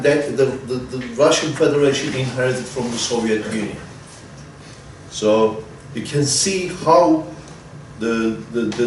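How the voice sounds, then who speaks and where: A man's voice: mid-range voice, a little mellow. Man, fifties, native Turkish speaker, lecturing, rambling restarts, very echoey room, off-mic. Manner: discursive, frequent restarts and repeated words, light humor. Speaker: guest lecturer. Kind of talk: academic seminar talk. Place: seminar room. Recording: echoey seminar room, distant mic, faint noise.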